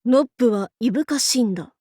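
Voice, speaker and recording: High-pitched, swaggering girlish demon-king warlord. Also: expressive, fast talker, mellow, husky, dry recording, clean studio sound. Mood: boastful, playful. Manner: archaic lordly speech, haughty, abruptly switching to goofy. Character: boastful young female demon-king warlord, imperious yet playful. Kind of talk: Japanese anime game character voice lines.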